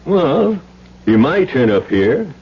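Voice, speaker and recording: An actor playing a folksy, steady small-town sheriff, unhurried and plainspoken, in an old-time radio drama. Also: male voice, fairly high pitch, husky, heavily acted. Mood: grave. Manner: unhurried, plainspoken, friendly but serious. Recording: dull old radio recording.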